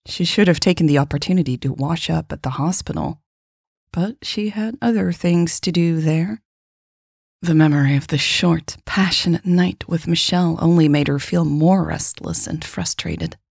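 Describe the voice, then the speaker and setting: A woman's voice: low voice, normal speed, vocal fry, a little mellow, slightly rough, no echo, studio quality. A woman hosting a podcast and reading scripted fiction as its narrator. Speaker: podcast host and author narrating her story.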